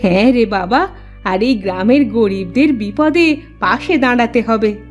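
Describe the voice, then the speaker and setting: A woman's voice: on the high side, unhurried, lo-fi sound, soft background music, natural voice. A woman voicing a poor village mother in a cartoon, with a plain motherly manner. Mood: amazed, relieved.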